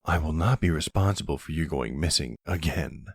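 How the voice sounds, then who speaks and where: Man playing a deep, gravelly flirty mafia boss. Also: normal speed, no echo, studio quality, full character voice. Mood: playful teasing.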